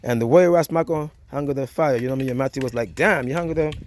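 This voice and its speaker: A man's voice: medium pitch, quick pace, darkish tone. A man with a Jamaican accent hosting a casual cooking vlog outdoors by a wood fire, chatty and easygoing, often saying "yeah, man".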